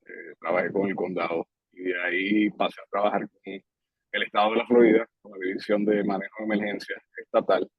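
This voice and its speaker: A man, answering interview questions in a relaxed, measured way with frequent hesitations. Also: on the low side, slightly rough, no echo. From Puerto Rico. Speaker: guest, public safety director. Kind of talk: casual interview talk. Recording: dry, slightly dull podcast audio.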